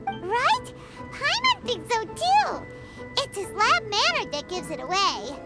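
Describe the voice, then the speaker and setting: Tiny female game fairy: squeaky, very high, swooping delivery, childlike exuberance. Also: wildly swooping pitch, slow, full character voice. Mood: cheerful, satisfied. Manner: refers to self in third person, exuberant. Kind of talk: video game character acting. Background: music.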